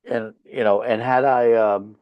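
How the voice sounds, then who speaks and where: A man's voice: mid-range voice, steady tone, measured pace, clear, resonant tone, muffled recording. A man from Finland whose first language is Swedish, a rock band leader chatting as a podcast guest, blunt and profane, hammering home his points. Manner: blunt, profane, emphatic storytelling. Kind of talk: casual interview chat.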